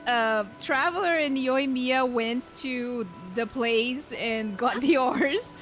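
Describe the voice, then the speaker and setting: A woman's voice: high voice, slow pace, a bit bright. A woman streamer giving casual live game commentary, talking back to the characters informally. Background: game music.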